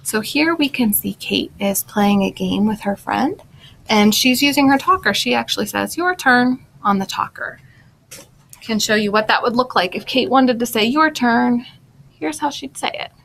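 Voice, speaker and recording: A woman with a slightly high voice and noticeable vocal fry teaches young listeners in the simple style of a picture book read-aloud. She sounds like a presenter giving a lesson. There is faint noise behind her. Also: expressive, moderate pace, a bit bright, poor audio. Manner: simple, instructional wording aimed at young listeners. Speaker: speech language pathologist presenting and reading a children's book.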